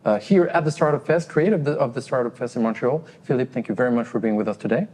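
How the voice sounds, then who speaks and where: A man with a mellow, mid-pitched voice hosts an interview. He asks his questions politely, with small restarts along the way. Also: big-room sound. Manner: polite, slightly halting questions. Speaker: podcast host, interviewer.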